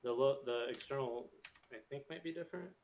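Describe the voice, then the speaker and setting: A man's voice: medium pitch, a little fast, darkish tone. A man talking casually about technical setup on an online call, with typing and clicking behind him. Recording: faint noise, keyboard clicks, online call.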